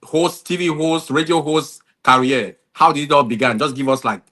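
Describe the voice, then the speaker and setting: A man's voice: fairly high pitch, moderate pace, pushed and bright. A man hosting a podcast interview, encouraging his guest with short affirmations and plenty of "you know.". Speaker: podcast host. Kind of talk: casual interview conversation.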